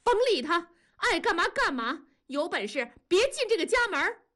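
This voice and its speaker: A woman voicing a jealous, sharp-tongued wife in an audiobook, sneering and needling with scornful questions. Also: high-pitched, big pitch swings, normal speed, voice pushed up. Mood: furious. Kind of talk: audiobook character dialogue.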